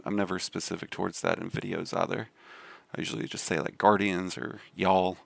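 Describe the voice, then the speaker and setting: A man from the Southern US with a deep voice and a Southern accent, chatting casually on a game live stream. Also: gravelly, fairly bright tone, no echo. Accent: Southern American. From Southern United States. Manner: laid-back, rambling with many fillers.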